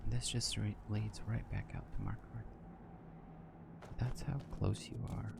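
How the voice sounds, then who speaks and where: Hushed, creaky low-voiced male gamer. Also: little pitch movement. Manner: hushed, casual, unhurried asides. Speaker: gaming video creator. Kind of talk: ASMR game commentary, partly whispered. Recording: loud background noise and ambience.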